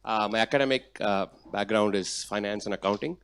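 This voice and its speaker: A man, introducing himself steadily at a ceremony with filler pauses. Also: fairly low pitch, a bit bright, a little hiss or hum. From India. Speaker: incoming student.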